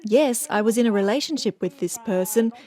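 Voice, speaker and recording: A woman voicing a translation of an interviewee, reading in a clear, explanatory way. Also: on the high side, a little fast, mellow. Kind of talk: read translation voice-over.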